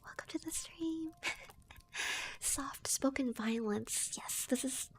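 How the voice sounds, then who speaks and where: Slow whispering woman reading a tale. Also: high-pitched, poor audio. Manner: soft whispering, giggly. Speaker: streamer and storyteller. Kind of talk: ASMR story reading.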